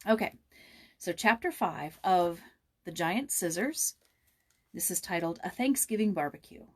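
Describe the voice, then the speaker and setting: A woman's voice: on the low side, lively intonation, unhurried. A woman chatting casually as host and narrator of a read-aloud, informal and thinking aloud as she goes.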